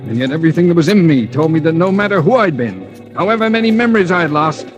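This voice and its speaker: A man's voice: a little high, lively intonation, quick pace, faint music behind, close to an everyday voice. An actor playing a desperate amnesiac man wanted for murder in a 1940s radio thriller, urgent and insistent, on an old radio recording. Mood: troubled, resolute. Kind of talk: 1940s radio thriller acting with first-person narration.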